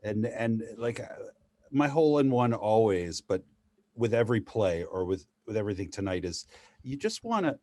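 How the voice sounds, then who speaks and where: Low-voiced man, close dry sound. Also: very animated, normal speed, warm tone, no room sound. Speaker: actor. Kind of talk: casual post-show discussion. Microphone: laptop video call.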